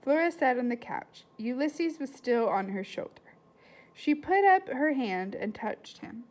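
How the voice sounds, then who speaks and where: Expressive woman reading aloud, lively pitch. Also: measured pace. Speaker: read-aloud reader. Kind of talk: expressive storybook narration with casual asides and questions to listeners.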